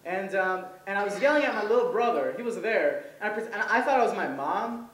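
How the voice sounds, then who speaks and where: Young man, native Hebrew speaker, stand-up comedian, hesitant rambling delivery, slightly high voice, echoey room, distant mic. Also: animated, fairly quick, a bit bright. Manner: rambling, hesitant with many ums, laughs at own jokes. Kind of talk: first-time stand-up set. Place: comedy event stage. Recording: roomy, voice from far off.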